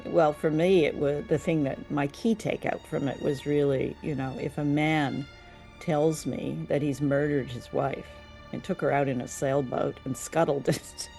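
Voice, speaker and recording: A woman co-hosting a conversational review show, speaking in measured, hesitant phrases. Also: low voice, vocal fry, darkish tone. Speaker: podcast co-host. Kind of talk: conversational radio drama review.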